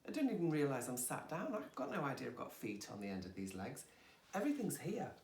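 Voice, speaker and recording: A woman's voice: very deep voice, sing-song, fast, darkish tone, a little hiss or hum. A woman, a yoga teacher, talking candidly and expressively about her own healing and laughing at herself. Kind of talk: candid personal conversation. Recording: roomy sound from a distant mic.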